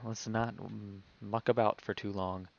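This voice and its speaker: Low, creaky-voiced male game streamer. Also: flat delivery, measured pace, lo-fi sound. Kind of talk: casual livestream game commentary.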